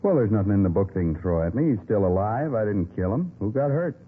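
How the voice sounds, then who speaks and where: Cornered american gambler in old radio crime show, deep voice, talks quick, defiant and bitter, tinny old recording. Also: male voice, very animated, husky. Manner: clipped, defensive, plain-spoken. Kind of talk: 1950s radio crime drama acting. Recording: vintage radio, thin band, hissy.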